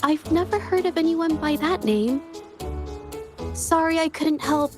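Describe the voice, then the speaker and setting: A woman's voice: very high voice, measured pace, music in the background. A woman voicing a shy, polite young female painter in a video game, hesitant and apologetic. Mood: timid, polite. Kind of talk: scripted video game voice acting.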